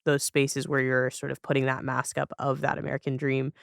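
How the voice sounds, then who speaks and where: A woman's voice: extremely low pitch, completely flat delivery, brisk, gravelly, no echo, studio quality. A woman hosting a podcast in a casual, chatty, informal way. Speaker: podcast host. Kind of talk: casual conversational podcast talk.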